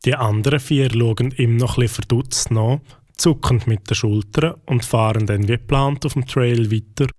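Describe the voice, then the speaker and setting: A man with a Swiss German accent narrates a children's story in a calm, even way. His voice sits at an ordinary pitch. A faint hiss lies under it. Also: moderate pace.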